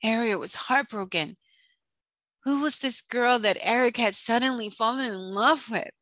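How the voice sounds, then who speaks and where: A woman narrating a scripted children's storybook in a deliberate read-aloud manner. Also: a little high, fairly bright tone, no echo.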